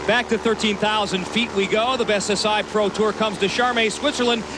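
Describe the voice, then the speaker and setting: A man's voice: sits high, fairly even intonation, normal speed. A man announcing play-by-play for a sports broadcast in a dramatic commentary style. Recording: clear background noise, outdoor ambience.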